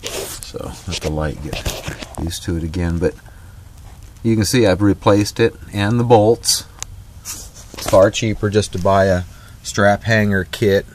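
A man's voice: lowish voice, slow, pauses often, a little hiss or hum. A man casually talking through a trailer repair outdoors, folksy and rambling with lots of fillers and restarts. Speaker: DIY vlogger. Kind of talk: casual off-the-cuff how-to vlog. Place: outdoors on a driveway beside a trailer.

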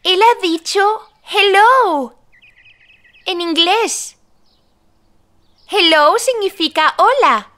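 Very high, cheerful little cartoon girl. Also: animated, extremely slow, long pauses, sharp tone, full character voice. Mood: eager, teaching. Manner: clear, teacherly, exclamatory. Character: cheerful, helpful little girl who leads the play. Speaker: main character and presenter of a children's cartoon. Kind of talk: children's cartoon dialogue, addressing young viewers.

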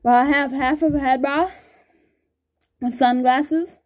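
A woman chatting excitedly while playing an online game with a friend, laughing often and calling everyone bro. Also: sits high, measured pace. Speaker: gamer recording gameplay. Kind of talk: excited gaming banter with a friend.

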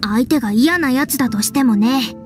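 A woman's voice: sits high, normal speed, lightly acted. A woman voicing an earnest young knight scout in an anime video game, girlish, confident and reassuring. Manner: bright-spirited, confident, girlish. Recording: music behind the voice.

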